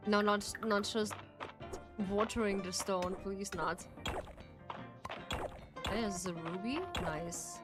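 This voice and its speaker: A young woman from Turkey streams a game and talks in a slow, unhurried way, often restarting her sentences as she thinks out loud. Her pitch sits in a middle range, and her English carries a first-language feel. Loud game music plays behind her the whole time. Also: steady tone. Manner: chatty, halting, thinks aloud with many restarts. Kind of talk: casual gameplay commentary.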